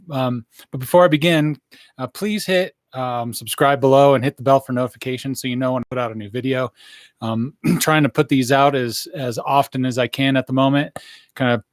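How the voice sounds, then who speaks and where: A hoarse man in his thirties giving a casual, rambling live update into a studio microphone in a small room. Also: medium pitch, dry recording. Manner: rambling, many fillers and restarts. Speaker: vlogger giving a health update. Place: small indoor room, wood-plank backdrop. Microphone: studio mic on boom arm in front.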